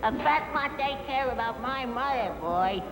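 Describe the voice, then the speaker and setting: An actor voicing a whiny, cocky, complaining young draftee in an animated cartoon, on an old film soundtrack. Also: medium pitch, moderate pace, over music, natural voice. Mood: resentful.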